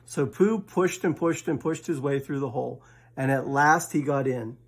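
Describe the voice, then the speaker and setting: A man's voice: mid-range voice, moderate pace. A man reading a children's bedtime story aloud as the storyteller.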